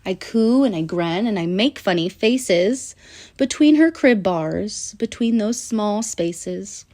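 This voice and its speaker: Animated woman reading a children's storybook. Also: mid-range voice. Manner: animated, sing-song storytelling with deliberate pauses. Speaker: children's book narrator. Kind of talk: expressive read-aloud of a rhyming children's picture book.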